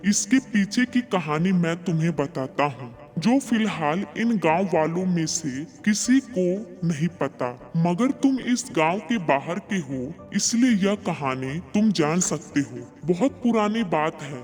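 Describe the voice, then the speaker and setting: Guy doing cartoon village folk, high male voice, slow lines, reverb and music. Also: lively intonation, darkish tone. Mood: solemn. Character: earnest male storybook characters: villagers, sage, reporter. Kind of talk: Hindi cartoon story dubbing. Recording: long reverb, music behind.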